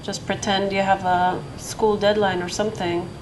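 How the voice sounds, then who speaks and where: A woman on an art school panel, talking hesitantly with many pauses and restarts. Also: medium pitch, flat delivery. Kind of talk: panel discussion. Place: lecture hall. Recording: noisy room recording.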